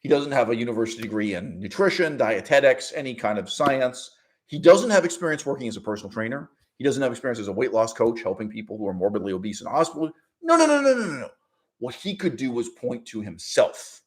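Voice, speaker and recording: A man giving argumentative online commentary in an emphatic, sardonic way, with rhetorical questions and deliberate repetition. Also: mid-range voice, sing-song. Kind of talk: argumentative commentary with read-out quotes.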